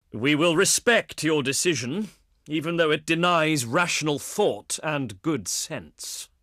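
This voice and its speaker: Proud, theatrical ancient Greek diplomat. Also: male voice, mid-range voice, fairly bright tone, no room sound, studio quality. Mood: disapproving, regretful. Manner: pompous, flowery, formal oratory. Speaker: video game voice actor. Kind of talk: theatrical game diplomacy voice lines.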